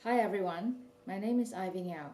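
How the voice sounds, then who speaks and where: Mellow-voiced woman author reading aloud. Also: mid-range voice, a little fast. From Malaysia. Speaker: author reading her own fiction. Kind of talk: literary reading. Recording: roomy, distant mic.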